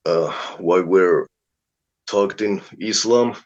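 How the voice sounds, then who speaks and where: Slow, deep-voiced musician. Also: male voice, muffled recording. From Finland. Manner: slow, hesitant, dry and wry. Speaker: guest, band frontman. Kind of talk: interview answers.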